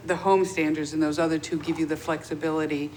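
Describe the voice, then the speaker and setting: A woman's voice: sits low, level tone, a little fast, darkish tone, slight background noise, low-quality recording. A woman serving as committee clerk, asking polite, careful clarifying questions at a city meeting.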